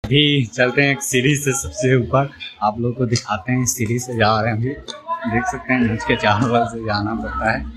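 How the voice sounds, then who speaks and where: A man's voice: mid-range voice, fairly even intonation, moderate pace, rough recording. Young man vlogging from a stadium. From Bihar, India. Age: young adult. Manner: chatty, addresses viewers directly, repeats himself. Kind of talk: casual vlog walkthrough. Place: outdoor stadium stands. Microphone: phone at arm's length.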